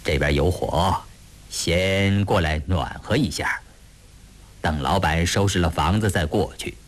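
A man voicing a grave, compassionate gentleman in a storybook reading, measured, pondering and sighing. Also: deep voice. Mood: kindly.

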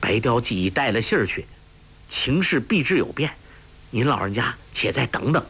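A man voicing an earnest, simple-hearted young man who talks quickly and plainly in a storytelling audiobook. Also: medium pitch, animated, a bit bright, breathy edge.

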